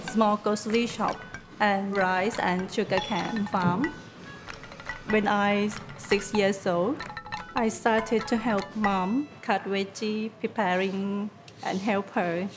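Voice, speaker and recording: A woman in her thirties from northeastern Thailand, a restaurant owner and chef speaking simple broken English with a Thai accent in a documentary interview, soft and earnest. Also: mid-range voice, steady tone, slow. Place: indoor restaurant dining room. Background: background music.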